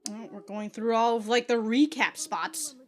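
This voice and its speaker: A woman's voice: fairly high pitch, moderate pace, soft background music. A woman giving casual, sweary, giggly reaction commentary as she hosts a reaction video. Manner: giggly, often breaking into laughter.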